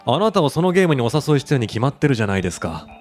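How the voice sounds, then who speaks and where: An actor playing a polite, deliberate, persuasive corporate recruiter with sinister intent in a radio drama. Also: male voice, medium pitch, very animated, faint music behind, close to an everyday voice. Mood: insinuating. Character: smooth, insinuating male corporate recruiter for a sinister game. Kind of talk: Japanese radio drama acting.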